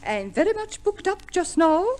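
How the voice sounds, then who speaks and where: A woman's voice: very high voice, sing-song, normal speed, darkish tone. An actress playing a prim, chatty lady of affected refinement in an old radio comedy play. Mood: gushing, genteel. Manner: affected refinement, coy, garrulous. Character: genteel, fussy, chatty professional divorce co-respondent lady, prim. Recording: vintage radio play recording.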